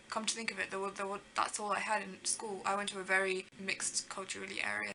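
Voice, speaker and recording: A young woman with a bright, crisp voice sits down to vlog her thoughts. She talks to the camera in an earnest, rambling way, pitched right in the middle, and pokes fun at herself as she goes. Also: slight background noise, poor audio. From Kenya. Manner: rambling, earnest, self-deprecating. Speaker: vlogger. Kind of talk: reflective casual talk to camera.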